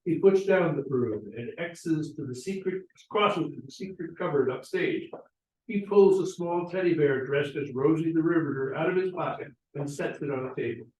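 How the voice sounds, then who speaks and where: Guy reading stage directions, slightly high voice, roomy and a bit far off. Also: moderate pace, a little mellow. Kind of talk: scripted narration. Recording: roomy, echoey, distant mic.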